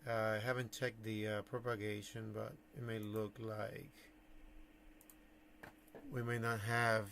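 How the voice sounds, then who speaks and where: A man giving casual livestream commentary as a radio hobbyist, thinking aloud in halting phrases. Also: fairly low pitch, level tone, creaky, a bit bright, a little hiss or hum. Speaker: amateur radio operator, livestream host.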